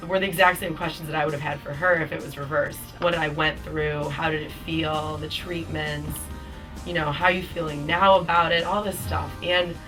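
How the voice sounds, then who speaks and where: A woman's voice: deep voice, steady tone, moderate pace, recorded from a distance, music in the background. A woman in her twenties telling her own cancer story in a casual, chatty way, with many fillers. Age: 20s. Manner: chatty, with frequent fillers and small laughs. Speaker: cancer survivor giving a testimonial. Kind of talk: casual personal testimonial.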